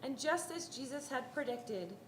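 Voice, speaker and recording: A woman's voice: high voice, expressive, unhurried. A woman narrating a scripted dramatic reading of a Bible story. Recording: roomy echo, faint background noise.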